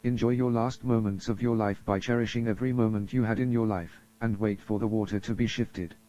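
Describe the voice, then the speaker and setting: The audio is muffled and lo-fi, carrying a man's very dark, mellow voice. He reads scripted emergency announcements in a formal, solemn manner, like a broadcast announcer addressing the public. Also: lowish voice, fairly even intonation, fairly quick, slight background noise. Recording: dull lo-fi audio.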